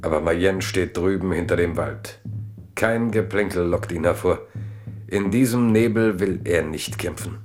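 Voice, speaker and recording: A man narrates a historical radio drama in a solemn, measured manner. His voice sits very low and moves slowly, with faint music underneath. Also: darkish tone. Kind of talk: scripted literary narration.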